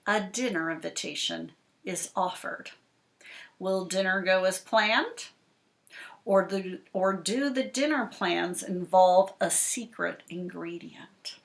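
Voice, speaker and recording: A woman talking to young children as a school librarian, explaining things with pauses and emphasis on key words. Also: lowish voice, gravelly. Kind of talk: read-aloud talk for young children.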